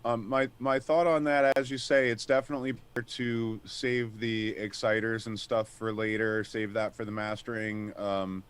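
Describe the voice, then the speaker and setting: Deep-voiced, halting man. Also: flat delivery, clean tone. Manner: halting, restarts often, searches for words. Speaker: panel guest. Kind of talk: casual panel discussion.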